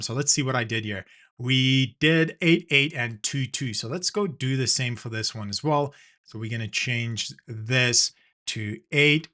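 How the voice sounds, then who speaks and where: A man's voice: mid-range voice, pushed and bright. A man from South Africa narrating a screen-recorded design tutorial, talking casually and walking through each step with the odd filler.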